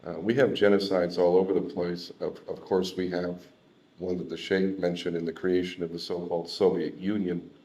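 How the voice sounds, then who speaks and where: A man with an American accent speaks at a talk about his own life. His voice sits low and moves very little in pitch. He talks haltingly and reflectively, repeating words as he finds his way. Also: flat delivery, mellow. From Southern California. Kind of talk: personal testimony in an unscripted talk.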